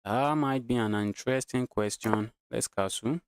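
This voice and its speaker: A Nigerian man with a mid-pitched voice streams casual game commentary. He thinks aloud and often repeats his phrases as he talks to viewers. The sound is dry, with no room echo. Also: normal speed, clear, resonant tone. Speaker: chess streamer.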